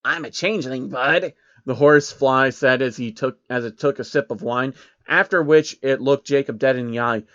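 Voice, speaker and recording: A man's voice: medium pitch, fairly even intonation, moderate pace, a bit bright, smooth, no echo, muffled recording. A man reading fan fiction aloud as an amateur story narrator.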